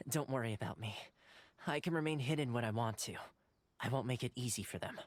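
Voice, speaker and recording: A man voicing a calm, considerate young ally in a video game, explaining plans in a measured, articulate way. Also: medium pitch, a little hiss or hum, lightly acted. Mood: calm, reassuring.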